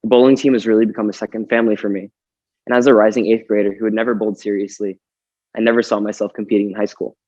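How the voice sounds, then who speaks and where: Raspy teen guy giving a polite welcome speech, kinda low and dull lo-fi. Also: little pitch movement, racing, darkish tone, no room sound. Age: late teens. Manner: formal and polite. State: slightly raspy. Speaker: student team captain. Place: school gym.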